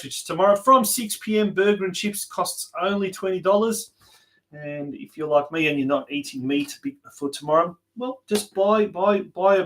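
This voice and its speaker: An Australian man presenting a live community sports show as host, speaking haltingly with repeated and drawn-out words. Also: a little high, fairly bright tone.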